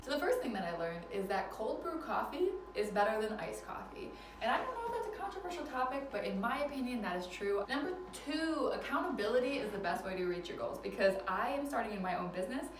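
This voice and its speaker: Fast-talking woman in her twenties, roomy. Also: mid-range voice, far from the mic. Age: 20s. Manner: chatty, laughs often, self-deprecating. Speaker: vlogger. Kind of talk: casual advice vlog. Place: indoors at a relative's house. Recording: roomy, mic set back, faint background noise.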